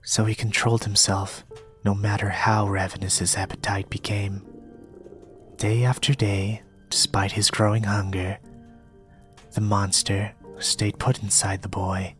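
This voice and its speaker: A young man reading a storybook in a soft-spoken, partly whispered ASMR style, with a gentle, measured delivery. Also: on the low side, little pitch movement. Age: young adult. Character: polite soft-spoken young male reader, quietly eerie. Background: soft music.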